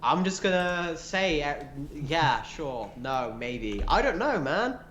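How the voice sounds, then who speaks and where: A man co-hosting a casual livestream, answering viewer questions with animated, laughing asides. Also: a little high, slow pace, roomy sound, slight background noise.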